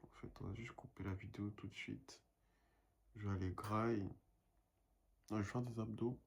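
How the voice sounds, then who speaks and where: A man's voice: lowish voice, steady tone, moderate pace, creaky, slightly rough. A young man and painter talking in a casual vlog monologue, rambling and self-motivating, dropping English phrases now and then.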